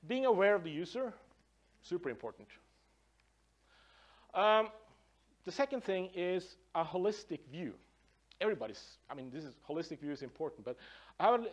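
A middle-aged man from Norway with a Norwegian accent, giving a loose, conversational conference presentation with many fillers and restarts, in a large conference room picked up from a distance. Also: on the high side. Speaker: conference speaker, design professor.

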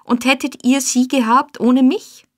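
A woman's voice: sits high, steady tone, measured pace, voice pushed up. A woman voicing a sly, wheedling old fence. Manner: wheedling, placating. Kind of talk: audiobook character voice.

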